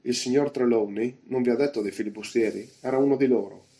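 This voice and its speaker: A man's voice: mid-range voice, fast, dark tone, lightly acted. A man voicing an eager, respectful cabin boy in a storybook, speaking earnestly and urgently. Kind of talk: audiobook character voice.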